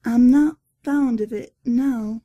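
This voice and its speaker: A woman playing a timid, soft-hearted nurse in a horror audio drama, with a breathy voice. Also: a little high, slow, no echo, highs cut off. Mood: uneasy.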